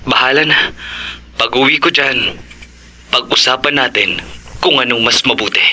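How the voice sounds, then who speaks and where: An actor playing a calm, reassuring husband and father in an audio horror drama. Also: medium pitch, moderate pace.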